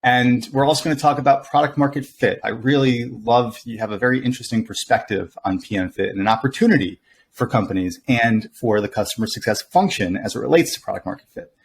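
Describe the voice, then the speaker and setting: Man, middle-aged, podcast host, enthusiastic, medium pitch, faint background noise. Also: fast talker, gravelly. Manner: upbeat, enthusiastic interviewer. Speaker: podcast host and company CEO. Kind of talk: hosting an interview podcast.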